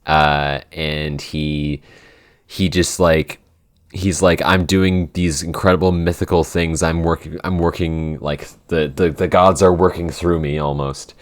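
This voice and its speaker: A man co-hosting a casual podcast Q&A, thinking aloud in a halting way as he explains his writing. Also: very deep voice, fairly even intonation. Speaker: podcast writer and co-host answering listener questions. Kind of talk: casual Q&A chat.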